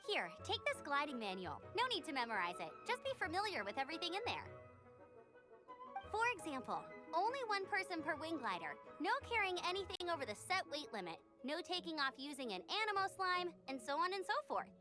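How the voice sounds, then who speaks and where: A woman playing a perky young knight examiner in a video game, brisk and proudly by-the-book. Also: sits high, big pitch swings, over music. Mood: upbeat, encouraging. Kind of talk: video game character dialogue.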